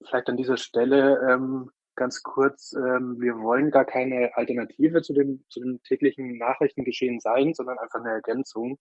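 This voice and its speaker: A man with a mid-pitched voice gives informal answers as an interview guest. He speaks haltingly, searching for his words. The sound is dry and a little dull, lacking detail. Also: fairly even intonation, a little mellow, dry recording. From Munich, Germany. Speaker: interview guest, newsletter co-founder.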